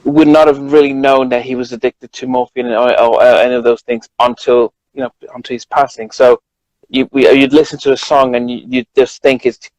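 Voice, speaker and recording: A man with a British accent in a casual conversation, halting and repeating words as he finds his point. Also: medium pitch, steady tone, a little mellow, no room sound, low-quality recording. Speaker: journalist co-host. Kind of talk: casual chat.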